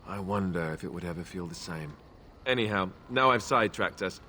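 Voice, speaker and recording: An actor playing an earnest, wistful young warrior in a fantasy video game. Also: male voice, low voice, fairly quick, pushed and bright, a little hiss or hum. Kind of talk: video game dialogue acting.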